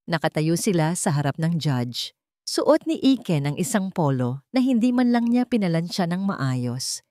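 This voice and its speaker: A woman's voice: lowish voice, very animated, moderate pace, warm tone, no room sound, studio quality. A woman storyteller giving a scripted, dramatic narration of a romance story.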